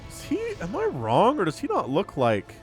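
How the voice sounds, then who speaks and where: A man shoutcasts a strategy game with a lively, widely swinging pitch. He talks casually and chattily, full of slangy asides, sounding slightly sniffly with a cold. Music plays behind his voice. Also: moderate pace. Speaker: esports shoutcaster. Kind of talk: casual live game commentary.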